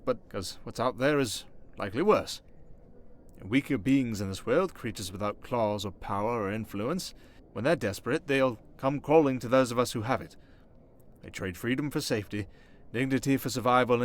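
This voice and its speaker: A man playing a smooth-talking, sarcastic vampire noble, chatting idly and vainly with a fellow prisoner in an ASMR roleplay. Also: mid-range voice, lightly acted. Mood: musing.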